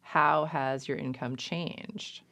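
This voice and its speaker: Gravelly-voiced woman radio host. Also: low-pitched, fairly even intonation, slow, fairly bright tone, no room sound. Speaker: radio show host and record label owner. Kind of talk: scripted intro, then interview questions.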